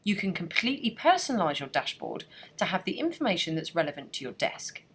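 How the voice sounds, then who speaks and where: Trainer voice: woman, low and husky, animated pitch, steady scripted tutorial read. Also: fairly quick. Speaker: software trainer. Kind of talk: scripted screencast narration.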